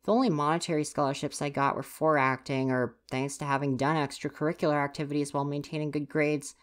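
Low-voiced, huffy tsundere student stagehand, acted by a woman. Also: steady tone, fast talker, close to an everyday voice. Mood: defensive, opening up. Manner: huffy, exasperated, sighing scolding. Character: grumpy flustered tsundere student stagehand, university age. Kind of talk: ASMR roleplay, acted directly to the listener.

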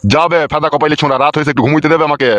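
A man's voice: on the high side, fairly even intonation, rapid-fire, fairly bright tone, slight background noise, close to an everyday voice. A man voicing a foul-mouthed, irritable, sleepy father who scolds his son in a comic cartoon dub. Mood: irritated scolding. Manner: abusive, cursing, irritable.

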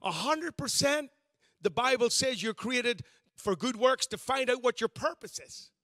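Bright, high-voiced pastor preaching. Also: male voice, expressive, measured pace, dry recording, clean studio sound. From Northern Ireland. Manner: conversational, self-deprecating preaching with frequent restarts. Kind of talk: sermon.

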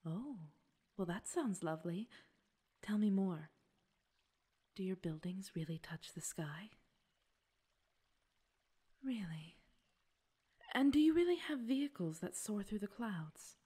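A woman playing a gentle, coaxing fey queen with secret possessiveness in an ASMR roleplay, speaking breathily and formally, often near a whisper. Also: medium pitch, lively intonation, breathy edge. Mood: sweet, gracious. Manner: slow, soothing, slightly formal speech. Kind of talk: ASMR roleplay audio drama.

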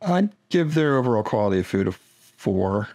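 A man's voice: fairly low pitch, very animated, normal speed, darkish tone. A man chatting casually as co-reviewer, laid-back and hesitant, often repeating words as he starts. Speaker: vlogger's husband, co-reviewer. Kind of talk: casual chat.

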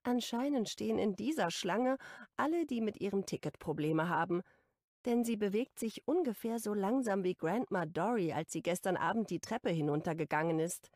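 A woman narrating a romance audiobook in the first person with calm, even storytelling. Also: medium pitch, normal speed, dry recording. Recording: dry close studio recording.

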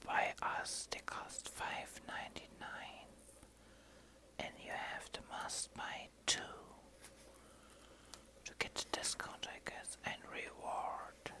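Whispering woman, very slow ASMR delivery. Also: pushed and bright. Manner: slow, halting reading aloud in short phrases. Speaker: ASMR video creator. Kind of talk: whispered ASMR show and tell. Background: crumpling, crinkling paper.

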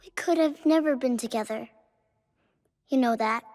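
A woman's voice: on the high side, normal speed, a little mellow, close to an everyday voice. A woman voicing a tender, solemn, knowing ghost of a little girl in a video game. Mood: gentle, resigned. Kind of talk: game acting, storybook-like delivery.

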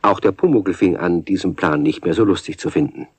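A man's voice: low voice, brisk, a little mellow, slightly rough, no room sound. A man narrating a children's radio play in a scripted storytelling style.

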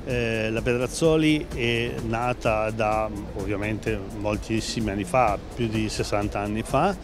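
A fairly low-voiced man in his fifties answers an interviewer at a busy trade fair stand, talking into a handheld mic. He hesitates often and restarts his phrases. Music plays clearly beneath him. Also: fairly even intonation, measured pace. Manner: hesitant, many fillers and restarts. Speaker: company president interviewed. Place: busy exhibition hall stand. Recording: background music under voice.

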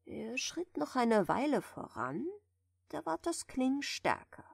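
A woman softly reading a bedtime fairy tale in a gentle, soothing manner, at times close to a whisper. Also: sits high, very animated, measured pace, vocal fry, husky, no room sound. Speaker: audiobook reader, storyteller.

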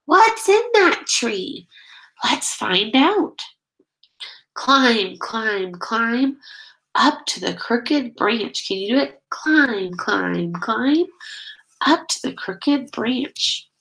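A woman's voice: on the high side, sing-song, drawn-out words, a bit bright, low-quality recording. A middle-aged woman reading a picture book aloud as a teacher, expressively, drawing out key words and prompting young listeners with questions. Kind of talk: children's read-aloud.

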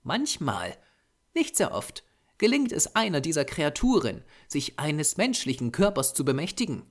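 Man playing a grave, ominous lore-teller, lively pitch. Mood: urgent warning. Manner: solemn, measured, insistent. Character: grave Japanese man, ominous, urgent storyteller of dark lore.

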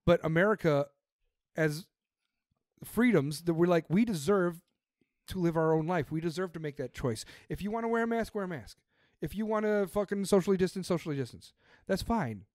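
A man's voice: sits high, animated, moderate pace, darkish tone, dry recording, studio quality. A man on a podcast, ranting emphatically about politics with plenty of swearing. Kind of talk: casual political chat.

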